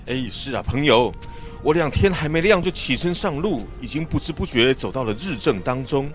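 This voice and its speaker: A man plays a weary, talkative villager on a journey in a biblical radio drama. His delivery is emphatic and full of sighs, at a middling pitch. Soft music sits underneath. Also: expressive, normal speed, natural voice. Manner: emphatic, sighing, storytelling. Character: talkative, weary village traveler of ancient Judea.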